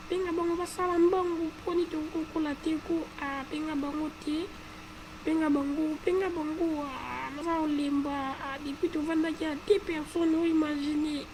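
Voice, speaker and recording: A woman's voice: high voice, lightly acted. A woman voicing a weary, nagging cartoon colleague, insistent and repetitive, on a noisy amateur recording.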